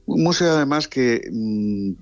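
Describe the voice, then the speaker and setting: An older man from Spain, a guest in a radio interview, talking in an earnest, halting way with many restarts. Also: medium pitch, expressive, dull audio. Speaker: guest, brother of a late singer.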